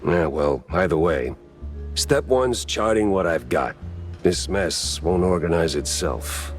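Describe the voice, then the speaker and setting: Deep-voiced, world-weary mouse detective. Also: male voice, lively intonation, slow, soft background music. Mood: sardonic. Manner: dry, sardonic, hesitant asides. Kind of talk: 1930s noir detective acting.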